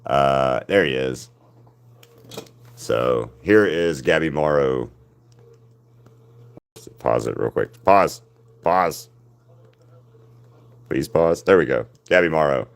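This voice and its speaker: A man with a deep voice, chatting casually with viewers while he paints on a livestream. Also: animated, slow, vocal fry, long pauses. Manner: rambling, answers viewers' chat by name. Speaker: artist hosting a livestream.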